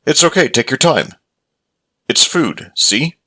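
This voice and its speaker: A man's voice: mid-range voice, lively intonation, takes it slowly, sharp tone, full character voice. A man playing a kind, patient pet owner in a visual novel, speaking in a casual, reassuring manner. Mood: gentle.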